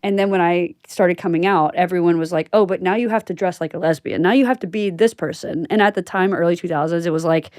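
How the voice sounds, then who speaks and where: A woman's voice: on the low side, fast talker, hardly any pauses, a little mellow, no room sound, clean studio sound. A woman in her early thirties hosting a casual, conversational podcast interview. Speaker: podcast host.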